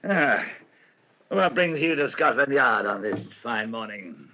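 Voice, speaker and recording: An actor playing a genial, hearty English police commissioner in a radio play, avuncular and clubby. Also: male voice, medium pitch, expressive, slow. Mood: hearty, welcoming. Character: genial, hearty senior Scotland Yard commissioner. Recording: dull old radio recording.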